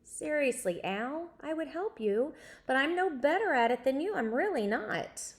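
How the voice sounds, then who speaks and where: A woman's voice: high voice, lively intonation, a little slow, voice pushed up. A woman voicing a kind, teasing older brother who works as a mechanic, in casual slangy storybook dialogue. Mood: kindly apologetic.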